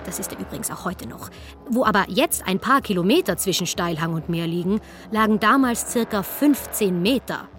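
An actress narrating a radio play as an archaeologist storyteller, with a wry, dry, conversational delivery. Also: female voice, mid-range voice, animated, normal speed, fairly bright tone, soft background music, natural voice. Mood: matter-of-fact.

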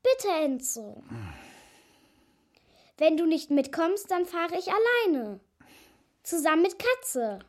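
A woman's voice: very high voice, very animated, takes it slowly, pauses often, exaggerated voice. A woman playing a plucky, stubborn young girl in a children's radio play, eager and insistent in manner. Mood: pleading, insistent.

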